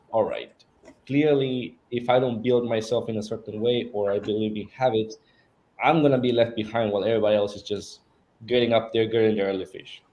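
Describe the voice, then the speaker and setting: A man's voice: medium pitch, warm tone, poor audio. A man from Peru, a guest on a live-stream interview, telling his life story earnestly with fillers. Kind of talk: casual interview talk.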